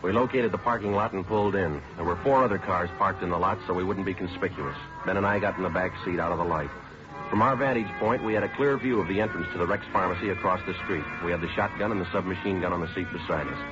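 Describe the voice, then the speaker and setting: A man's voice: sits low, fast talker, natural voice. A man acting a terse, weary police detective who narrates his case in clipped, matter-of-fact old radio procedural style. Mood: flat, matter-of-fact. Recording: dull vintage radio recording, music behind.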